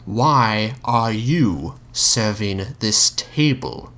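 A man voicing a suspicious, pompous older man who asks slow, drawn-out demanding questions. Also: fairly low pitch, animated, a bit bright, full character voice.

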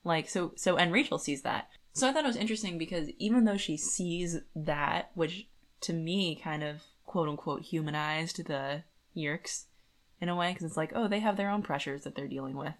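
A woman's voice: deep voice, normal speed. A woman co-hosting a podcast in a casual, colloquial book discussion, slipping conversationally into quoted lines. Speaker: podcast co-host.

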